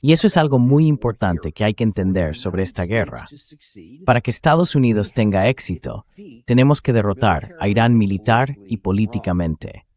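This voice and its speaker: A man's voice: fairly low pitch, lively intonation, moderate pace, a little mellow. A man hosting a political commentary show, explaining his points emphatically and addressing viewers directly.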